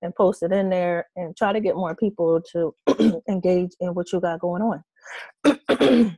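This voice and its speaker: A woman in her thirties, hoarse from losing her voice, casually explaining an online tutorial with many fillers and restarts. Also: on the low side, unhurried, dark tone, no echo. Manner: rambling, hesitant, many fillers. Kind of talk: casual screen-share tutorial. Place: small room with red curtains, indoors.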